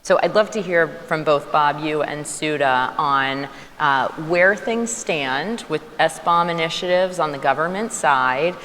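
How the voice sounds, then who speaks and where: A woman with an unusually low voice moderates a panel and poses questions, stumbling lightly over her words. Long hall reverb and faint ambience surround her. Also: measured pace, fairly bright tone, a little hiss or hum. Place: conference hall.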